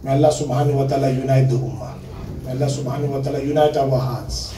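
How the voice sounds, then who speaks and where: A man's voice: medium pitch, fast talker, warm tone, far from the mic. A man in his thirties giving an earnest sermon at a memorial gathering, slipping Urdu and Arabic phrases into his English and repeating words to stress them. He talks seated at a panel table, holding a handheld mic. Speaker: religious speaker. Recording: echoey hall, mic held away.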